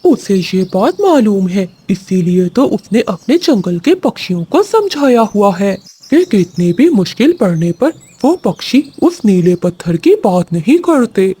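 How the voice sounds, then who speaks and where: Woman doing a scheming male bird villain, husky, animated. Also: mid-range voice, a little slow. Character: scheming bird villain husband coveting a magic stone. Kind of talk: animated moral-story cartoon dub.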